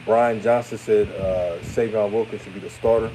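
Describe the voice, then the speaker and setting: Deep-voiced man, thirties, hot takes. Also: moderate pace, slight background noise, poor audio. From Houston, Texas. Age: 30s. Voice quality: deep voice that sounds older than his age. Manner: relaxed, chatty, repeats himself, trails off. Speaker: sports commentator on a live stream. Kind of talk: casual live-stream hot takes, reading chat comments. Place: his room after a game.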